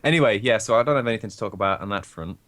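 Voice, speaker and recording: A croaky-voiced young man chatting openly and rambling as co-host of a casual podcast. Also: fairly low pitch, rapid-fire, fairly bright tone. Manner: rambling, open, laughs often. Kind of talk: casual chat.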